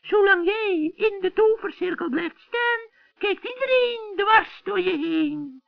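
A performer playing a scheming old witch in a children's radio play, wheedling and theatrical with drawn-out words. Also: very high voice, animated, vocal fry, no room sound, full character voice. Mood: flattering, pleased. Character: scheming old female witch giving magic lessons. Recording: dull vintage radio recording.

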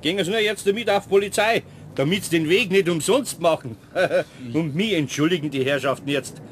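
A man's voice: on the high side, moderate pace, slight background noise, natural voice. An actor playing a good-natured, grumbling older master craftsman in a children's radio play, with a Bavarian accent and a homely, mildly chiding manner. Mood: dry, assured. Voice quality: husky.

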